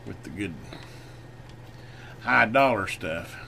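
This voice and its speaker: A man giving a casual hands-on repair demonstration, folksy and unhurried as he talks while working. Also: fairly low pitch, lively intonation, sharp tone. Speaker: channel host doing electronics repair. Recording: noisy room, clearly audible background noise.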